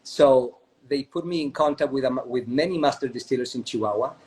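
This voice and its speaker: A man's voice: mid-range voice, fairly quick, a little mellow, rough recording. A man from Chile, a spirits company co-founder, telling a long story in a casual interview over a video call, often checking in with "right?". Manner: chatty, often adds "right?".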